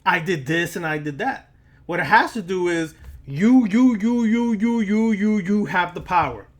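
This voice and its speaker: A man with a notably high-pitched voice delivers an impromptu, sermon-like lecture. He speaks slowly and addresses the listener directly, admonishing and preachy. He leans on emphatic repetition of words and phrases. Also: expressive. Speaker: occult lecturer and teacher.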